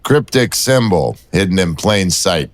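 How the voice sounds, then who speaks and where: An actor playing a wry, persistent city detective who talks in dry, teasing banter. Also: male voice, low voice, very animated, a little slow, dry recording.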